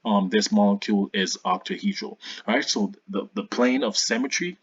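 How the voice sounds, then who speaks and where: A man's voice: fairly low pitch, big pitch swings, moderate pace, gravelly, breathy edge, no echo, lo-fi sound. A man giving an informal science tutorial as a video host, hesitant, often repeating and correcting himself.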